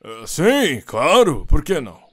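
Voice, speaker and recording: A man with a Brazilian accent playing a grumpy, sarcastic green alien fighter with a gravelly voice in an anime parody dub. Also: a little high, huge pitch swings, slow, fairly bright tone, exaggerated voice. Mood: dry sarcasm. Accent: Brazilian Portuguese. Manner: sarcastic, exasperated. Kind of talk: comedic anime parody fandub.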